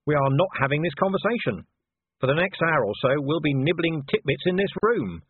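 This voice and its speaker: A man playing a shrewd, sardonic city commander in an audiobook, smooth and needling in manner. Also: on the high side, animated, brisk, no room sound, phone-quality sound, voice pushed up. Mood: sly. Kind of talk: audiobook character voice.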